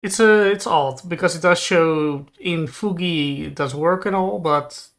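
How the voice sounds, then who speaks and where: A man co-hosting a livestream in casual, somewhat halting chat. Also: on the high side, gravelly, a bit bright. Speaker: livestream co-host.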